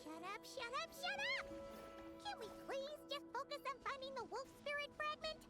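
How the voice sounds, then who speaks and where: Tiny fairy companion girl, game voice, very high-pitched, scolding and impatient. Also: animated, moderate pace, over loud music. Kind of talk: video game voice acting.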